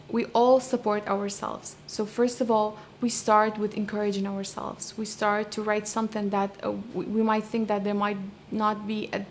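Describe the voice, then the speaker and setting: A young Kurdish-accented woman speaks in a flat, level tone, weighing each thought with frequent ums and restarts. Her answers stay measured and reflective. A faint background hiss sits under her voice. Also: mid-range voice. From Iraq, Kurdish region. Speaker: poet and translator.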